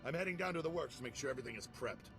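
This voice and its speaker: An actor voicing a defiant veteran soldier turned traitor in a video game, wry, blunt and self-assured, with gravel in the voice. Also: male voice, mid-range voice, lively intonation, very fast, over music, natural voice. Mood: dismissive. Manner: cocky, sardonic, blunt.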